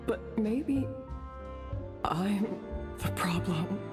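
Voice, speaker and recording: A proud woman who has been humbled. She speaks very slowly in a mid-range voice, full of self-blame. Game music plays clearly behind her. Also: medium pitch, animated. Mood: regretful. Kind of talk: dramatic game dialogue.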